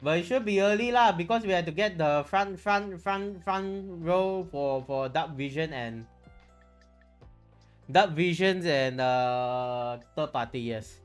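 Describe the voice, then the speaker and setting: Music plays behind a streamer with a Malaysian accent and a medium-pitched voice. The talk is casual and rambling, with phrases repeated and restarted as the thoughts come. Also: slow, clean tone. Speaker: livestreamer. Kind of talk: casual livestream chat. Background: background music.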